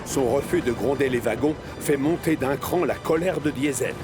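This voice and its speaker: A man narrating a children's animated story in an expressive storyteller's manner. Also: medium pitch, moderate pace. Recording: music behind the voice. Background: music.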